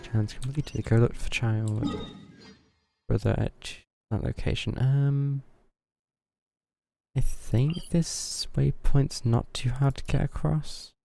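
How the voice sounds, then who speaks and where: A man's voice: medium pitch, steady tone, takes it slowly, vocal fry, warm tone. A man giving casual live game commentary in dry, muttered asides. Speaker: game streamer. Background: game music.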